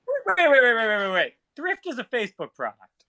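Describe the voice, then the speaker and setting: A man's voice: very high-pitched, huge pitch swings, a little fast, bright, forceful tone, no echo. A man debating technical matters casually, with a blunt, interjecting manner.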